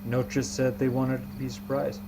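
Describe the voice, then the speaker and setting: A delivery courier played by a man in an audio drama, speaking plainly and casually. His voice is mid-pitched, with a clearly audible hum and noise behind it. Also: monotone, normal speed, natural voice. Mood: matter-of-fact. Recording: humming, noisy night ambience.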